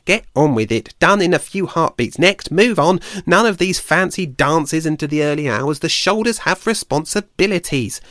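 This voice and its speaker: A man's voice: a little high, a bit bright, no echo, clean studio sound, pitched above the performer's own voice. Man voicing an exasperated young female squirrel. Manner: fast, exasperated complaining.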